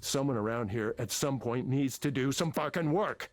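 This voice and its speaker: An actor playing an angry, embittered older tech manager, combative, sarcastic and sweary, in a visual novel game. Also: male voice, mid-range voice, moderate pace, natural voice. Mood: dismissive.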